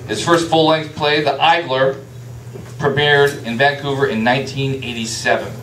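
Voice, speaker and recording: A man's voice: medium pitch, fairly even intonation, normal speed, fairly bright tone. A man hosting a live event, introducing a guest with playful, jokey hype. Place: indoor venue in a restaurant. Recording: roomy live venue, distant mic, faint noise.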